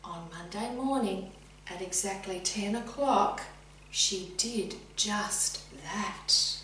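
A woman's voice: medium pitch, sharp tone. Very echoey woman reading to children. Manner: clear, deliberate, addresses young listeners directly. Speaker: teacher reading a picture book. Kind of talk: expressive children's story reading. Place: indoors, sitting room with bookcase. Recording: very echoey room, distant mic, faint hum.

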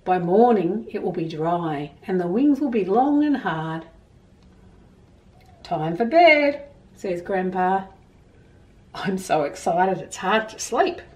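Storytime presenter: middle-aged Australian woman, unhurried and highly animated, expressive delivery for children, slightly distant room mic. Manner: expressive, emphatic storytelling for young listeners. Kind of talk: picture-book reading and explaining to children. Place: small indoor room at home with bookshelves.

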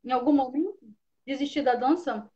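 A woman's voice: high voice, moderate pace, highs cut off, a loud crowd. A Brazilian woman interviewing a dance teacher in a live online session, admiring and eager, reading out viewer questions.

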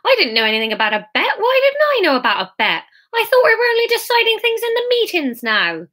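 An easily alarmed village man from a comic storybook, as a woman narrator plays him. He bleats and grumbles in indignant protest, pitched high and sharp. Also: huge pitch swings, fast talker, heavily acted. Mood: accusing.

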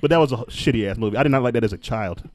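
A man's voice: medium pitch, racing, a little mellow. A man in casual, profane podcast banter, teasing and mock-exasperated, on a close microphone. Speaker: podcast co-host. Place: small room around a table, indoors.

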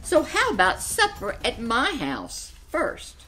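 Woman voicing an eager, animated little boy rabbit. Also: a little high, takes it slowly, faint music behind, pitched above the performer's own voice, exaggerated voice, low-quality recording. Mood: friendly. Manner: eager, cheery. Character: eager, proud, chatty little male rabbit.

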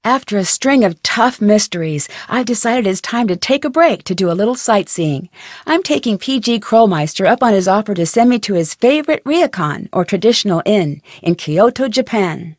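A woman playing a young female amateur detective in a video game, curious, upbeat and sensible, speaking in a clearly enunciated, conversational way. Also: medium pitch, lively intonation, moderate pace, no room sound, studio quality, natural voice. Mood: bright, intrigued. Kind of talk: video game voice acting, scripted lines and letter narration.

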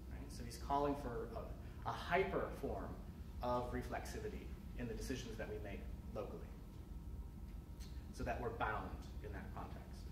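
A man giving a keynote academic lecture from a podium, measured and thoughtful, with frequent "um" fillers. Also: medium pitch. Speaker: keynote speaker. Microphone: podium mic heard from a distance. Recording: echoey lecture hall, distant and noisy.

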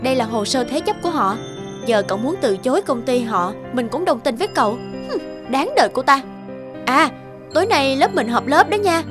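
A woman voicing a gossipy, spiteful young female classmate in a cartoon, goading and sharp-tongued. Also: high-pitched, expressive, moderate pace. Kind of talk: cartoon voice acting. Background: background music.

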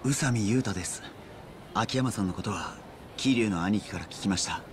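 An actor playing a young yakuza underling in a video game, casual and rough-edged, exasperated yet deferential. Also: male voice, lowish voice, moderate pace, background noise, close to an everyday voice. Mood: respectful. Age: young adult. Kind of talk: Japanese video game voice acting.

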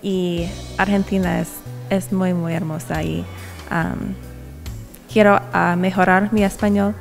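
A woman's voice: on the low side, steady tone, gravelly, soft background music. Young woman speaking learner's Spanish. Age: young adult. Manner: simple learner phrasing with "um" pauses. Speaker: student. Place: university hallway. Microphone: handheld reporter mic.

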